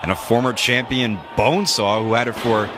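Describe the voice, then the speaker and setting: A man calling wrestling moves in quick succession as play-by-play commentator, with jokey asides. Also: mid-range voice, fairly even intonation, crowd noise, background noise.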